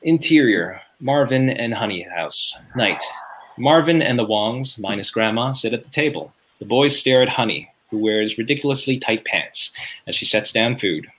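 A man's voice: mid-range voice, expressive, normal speed, fairly bright tone, a little hiss or hum, low-quality recording. A man reading out stage directions as scripted narration in a sitcom table read, recorded at home on a video call.

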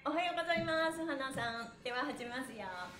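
表情豊かな高い声の女性ストレッチ講師. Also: female voice, high-pitched, lively intonation, fast, a bit bright, echoey room, faint music behind. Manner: upbeat, encouraging, chatty, uses cute onomatopoeia and polite phrasing. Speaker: stretch instructor hosting a live exercise session. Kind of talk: cheerful follow-along exercise lesson.

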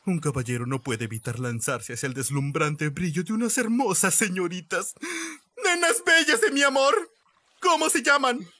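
A man's voice: high voice, expressive, normal speed, pushed and bright, no echo, full character voice. A man voicing a cool, sceptical young sea cook in an anime fandub, blunt and nonchalant. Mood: swooning.

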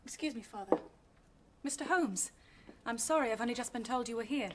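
Woman, thirties, acted, radio-drama dutiful daughter: fairly high, polite, determined, slight background noise with clicks. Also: animated, fairly quick, close to an everyday voice. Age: 30s. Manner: polite, firm. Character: strong-willed, protective unmarried daughter, polite but firm. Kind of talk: British radio drama acting.

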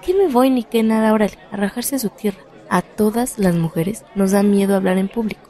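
Actress doing mexican women's leader, firm pep-talk friend, mellow voice, radio soap, slight crowd behind. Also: female voice, mid-range voice, a little fast, close to an everyday voice. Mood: firm, encouraging. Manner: firm, exhorting, colloquial. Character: encouraging, determined female activist leader, friend and mentor. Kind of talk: radio soap opera acting.

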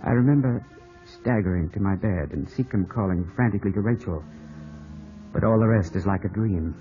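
Man playing low-voiced, lovestruck English gentleman. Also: moderate pace, natural voice. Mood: narrating, sombre. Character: lovestruck, stubborn young English gentleman landowner. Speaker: radio drama actor and narrator. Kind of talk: 1950s radio acting. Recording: muffled old radio broadcast, music behind.

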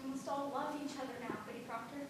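High-voiced skittish 18yo servant girl played by a woman, stammery old-timey talk, echoey far-off stage mic. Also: steady tone, close to an everyday voice. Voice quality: old-fashioned rustic speech, halting repeats. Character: frightened, defiant 18-year-old servant girl. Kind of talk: stage play performance. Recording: echoey, far-off stage audio with background noise.

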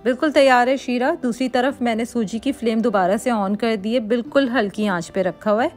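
A woman hosting a cooking channel, calmly explaining a recipe step by step in a voice-over and mixing English words into her talk. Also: on the high side, fairly quick, faint music behind. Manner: calm, instructive, mixes English words into Hindi.

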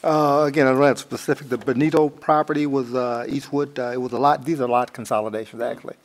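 An American man giving a halting committee report, often groping for words. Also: mid-range voice, fairly even intonation. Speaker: village trustee, committee chair. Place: indoor village board meeting room.

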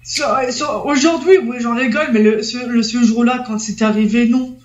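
A woman's voice: on the high side, normal speed, a little mellow. A woman talking casually as a panel guest, chatty and full of fillers. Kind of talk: casual studio discussion. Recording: echoey, dull-sounding room.

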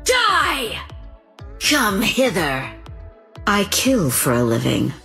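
A woman's voice: medium pitch, huge pitch swings, gravelly, pushed and bright, soft background music, heavily acted. A woman playing a cold, seductive, bloodthirsty assassin in a video game, speaking in a haughty, menacing way. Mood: fierce, then taunting, seductive. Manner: haughty, menacing, slow and deliberate. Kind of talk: video game character voice lines.

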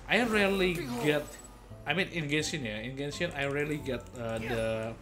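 A young man giving casual gameplay commentary as a streamer, with halting, repetitive phrasing. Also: on the high side, over music. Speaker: game streamer. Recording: clear background noise, game ambience.

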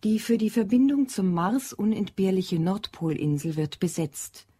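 A woman's voice: medium pitch, expressive, unhurried, warm tone, breathy edge. A woman narrating a radio play with composed, factual scripted delivery. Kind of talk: scripted narration, plot summary.

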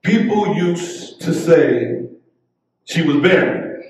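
A middle-aged American man preaching as a pastor in a measured, fervent, declamatory way. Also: a little high, animated, recorded from a distance, slight background noise. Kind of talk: sermon, scripture reading and prayer. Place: church sanctuary at the pulpit. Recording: echoey church hall, off mic.

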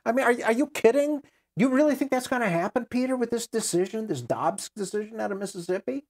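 A man's voice: very high-pitched, fast. An American man in his sixties giving conversational political and religious commentary as a guest, repeating key phrases and asking rhetorical questions. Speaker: interview guest. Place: small book-lined room indoors. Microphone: lapel mic.

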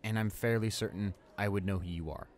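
A man's voice: lowish voice, fairly even intonation, fairly quick, lightly acted. A young man playing an arrogant, irritable student bully in an improvised audio roleplay, with a smug, dismissive manner. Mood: smug, condescending. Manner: smug, dismissive, muttering to himself.

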